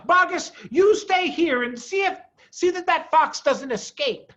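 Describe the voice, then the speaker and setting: A gaunt old-storybook farmer villain, played by a male reader, blunt and commanding. The voice is pushed high and swings widely, in a slightly dull recording. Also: lively intonation, a little slow, bright, forceful tone, full character voice. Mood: bossy, scheming.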